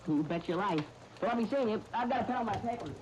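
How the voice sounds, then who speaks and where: An actor playing an eager, boyish, good-natured newspaper delivery fellow in chirpy, folksy 1930s radio serial style. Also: male voice, high voice, expressive, fast, low-quality recording. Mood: eager, cheerful. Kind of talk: 1930s radio soap opera acting. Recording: hissy 1939 radio broadcast disc.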